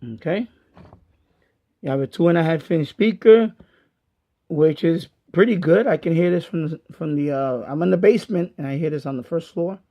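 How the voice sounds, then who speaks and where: A man giving a casual hands-on product review in a halting way, repeating himself as he goes. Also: on the high side, dark tone. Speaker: gadget reviewer and radio hobbyist. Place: basement of a house. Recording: dull lo-fi home video sound.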